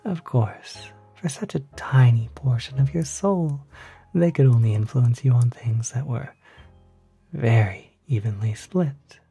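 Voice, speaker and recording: A man playing a polite, quietly sinister demon concierge in an ASMR roleplay, speaking softly in half-whispers with gentle, hesitant persuasion. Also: medium pitch, unhurried, faint music behind. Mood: reassuring. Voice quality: soft, breathy, half-whispered.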